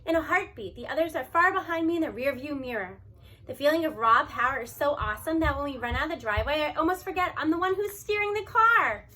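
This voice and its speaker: A woman reading a children's novel aloud in an expressive, animated storytelling manner. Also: sits high, quick pace. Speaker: audiobook reader.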